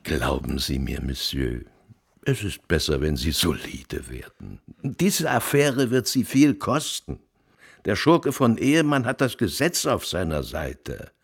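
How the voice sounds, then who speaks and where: A worldly police commissioner in a radio play, deep voice, formal and lecturing. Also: male voice, sing-song, creaky, slightly rough, full character voice. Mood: dry, admonishing. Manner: measured, formal, admonishing. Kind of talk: radio drama acting.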